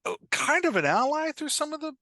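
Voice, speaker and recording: A man's voice: very high voice, big pitch swings, brisk, pushed and bright, no room sound, clean studio sound. A man speaking as a guest writing coach on a podcast, explaining things in an informal, emphatic way with quoted examples. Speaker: guest author and dialogue coach.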